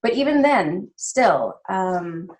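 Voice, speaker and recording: A woman from the Philippines talks through her thoughts slowly on a video call, pausing often to find her words. Her voice sits at a middle pitch. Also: sing-song, rough recording. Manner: thoughtful, many fillers. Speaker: panelist. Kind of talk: casual panel discussion.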